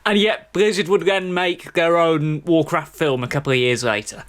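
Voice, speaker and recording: A man's voice: a little high, steady tone, moderate pace, a bit bright. A man chatting casually about films as co-host of a review podcast. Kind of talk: casual film review chat.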